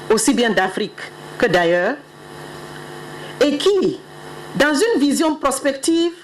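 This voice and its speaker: A woman's voice: sits high, a little hiss or hum, rough recording. Woman giving a stately formal address. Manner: measured, solemn, stately delivery. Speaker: official delegate speaker.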